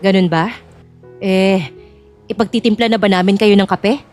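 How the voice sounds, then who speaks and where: A woman's voice: mid-range voice, fairly even intonation, moderate pace, darkish tone, soft background music, lightly acted. A woman playing a scheming, greedy aunt in an audio drama, informal, teasing and sly. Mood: casual.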